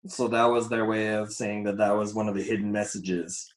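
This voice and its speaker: A man hosting a casual podcast, presenting to the group while losing his voice and clearing his throat. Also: lowish voice, fairly even intonation, moderate pace. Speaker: podcast host. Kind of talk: casual panel talk, presenting slides. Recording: echoey room.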